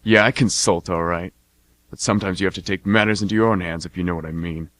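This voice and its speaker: An actor playing a menacing, drunken war veteran in a radio drama, taunting and swaggering in folksy slang. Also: male voice, sits low, fairly even intonation, fast, a bit bright, no room sound. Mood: boastful, gruff. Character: menacing, drunken brother, boastful war veteran turned mercenary. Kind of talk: amateur radio drama acting.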